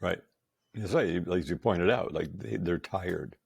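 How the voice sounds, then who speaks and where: An upbeat man in his sixties holds forth as a podcast guest, his low voice rough with gravel and a husky grain. He hammers his points with repeated emphasis. The sound is close and dry. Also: a little slow, dry recording. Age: 60s. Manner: enthusiastic, emphatic, laughs often. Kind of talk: casual chat.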